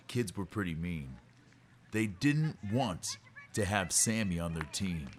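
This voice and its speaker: Male storyteller for children's read-aloud. Medium pitch, unhurried pace, expressive rhyming narration. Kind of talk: rhyming scripted narration for kids.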